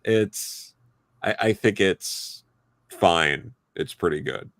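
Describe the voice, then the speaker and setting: A man speaking as a podcast panelist, giving a casual, rambling and halting opinion in a review discussion. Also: low-pitched, expressive, fairly bright tone, dry recording. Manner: halting, rambling, hedging delivery.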